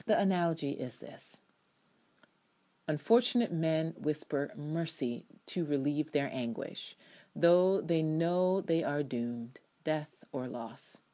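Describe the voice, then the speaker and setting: A woman with a low voice reads her own review essay aloud. Her delivery is emphatic and dramatic, with firm opinions stated as commentary. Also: slow, dark tone. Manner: emphatic, opinionated, acts out quotations. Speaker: TV show reviewer.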